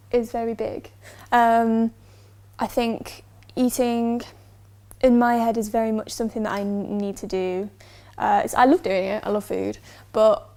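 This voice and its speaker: Mellow, higher-voiced young English woman. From England. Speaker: interviewee. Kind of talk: answering interview questions.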